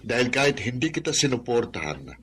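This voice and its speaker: A man's voice: medium pitch, very animated, a little fast, fairly bright tone, husky. An actor with a Filipino accent playing a sincere, emotional middle-aged father in a radio drama. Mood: proud, warm. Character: remorseful, proud father of a grown son.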